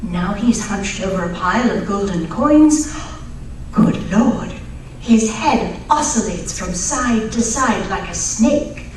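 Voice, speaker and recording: A woman's voice: mid-range voice, expressive, takes it slowly. A woman playing a plucky, knowledgeable Victorian lady in a live old-time radio drama, proper and earnest. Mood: hushed alarm. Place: live stage performance at radio club event. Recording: very echoey hall, distant mic, faint noise.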